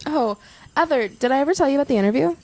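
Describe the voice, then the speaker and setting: A woman's voice: high-pitched, lively intonation, very fast, a little mellow. A woman playing a keen, friendly young campus journalist in a naturalistic audio drama, conversational and earnest. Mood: friendly excited.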